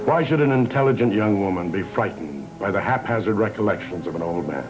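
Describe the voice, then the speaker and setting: An actor as grave, low-voiced old patriarch. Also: male voice, expressive, fairly quick, lightly acted, rough recording. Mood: wistful. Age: older. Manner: grave, ominous, theatrical phrasing. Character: weary, fatalistic old patriarch of a cursed gothic family. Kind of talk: 1960s-70s gothic soap opera acting. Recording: old TV soundtrack with background music.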